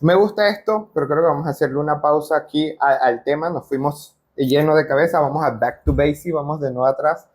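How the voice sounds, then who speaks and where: A man hosting a casual interview, speaking informally and dropping in English business terms. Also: a little high. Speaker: podcast host.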